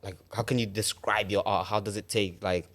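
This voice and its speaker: A man's voice: on the low side, steady tone, normal speed, fairly bright tone. A man co-hosting a casual podcast, asking loose, rambling questions full of filler. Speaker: podcast co-host. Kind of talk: casual interview chat.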